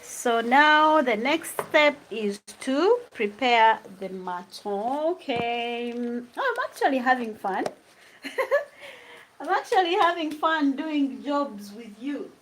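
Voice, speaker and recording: Ugandan woman, slow and chatty, a bit high, faint home noise. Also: sing-song, fairly bright tone. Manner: chatty, laughs often, drifts between English and Luganda. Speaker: vlogger. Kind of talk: casual chat while cooking, answering live comments. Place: home kitchen.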